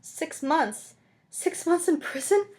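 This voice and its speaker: A woman's voice: extremely high pitch, expressive, slow pace, darkish tone, pitched above the performer's own voice, heavily acted. A woman voicing a destitute, desperate mother in a storybook reading, pleading tearfully in an agitated way. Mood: frantic pleading.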